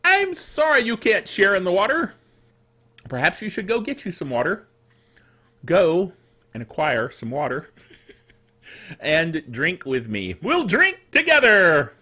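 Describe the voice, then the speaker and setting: A middle-aged man hosting a solo tech news show in a chatty, playful and quirky way, with theatrical asides and a slightly dry, rough throat. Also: sits high, big pitch swings, takes it slowly, pauses often, sharp tone.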